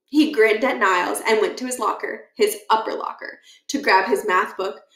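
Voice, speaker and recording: A woman reading a children's book aloud as an expressive storyteller, with animated, deliberate emphasis. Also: medium pitch. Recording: echoey, roomy sound.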